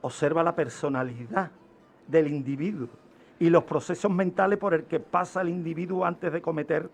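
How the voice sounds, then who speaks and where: A man's voice: on the high side, moderate pace, a little hiss or hum. A man with a Spanish accent from Spain giving an informal, didactic radio explanation as an expert guest, repeating phrases for emphasis.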